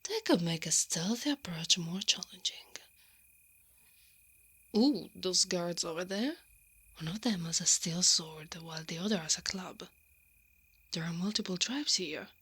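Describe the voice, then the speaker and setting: A woman playing a brave, sardonic female knight in an ASMR roleplay, breathy and often whispering, muttering dryly to herself. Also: on the low side, fairly bright tone, heavily acted. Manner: muttering to herself, dry and sarcastic.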